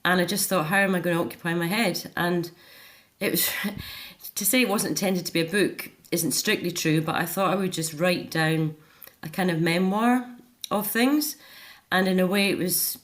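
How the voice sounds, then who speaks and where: Middle-aged woman with a lowish voice. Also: moderate pace, fairly bright tone. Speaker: interview guest, author. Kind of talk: candid personal account in a radio interview.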